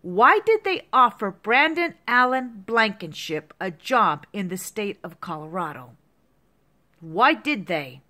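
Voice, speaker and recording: A woman's voice: mid-range voice, sing-song, slow pace, pushed and bright. A woman in her fifties in an agitated, repetitive rant to the camera, heavy with profanity. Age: 50s. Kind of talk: angry monologue to camera.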